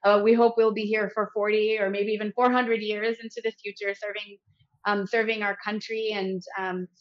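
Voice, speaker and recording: A woman's voice: mid-range voice, flat delivery, normal speed, highs cut off. An American woman, a health company founder, speaking earnestly and articulately as an interview guest. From United States. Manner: earnest, articulate, with light laughs. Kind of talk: interview conversation.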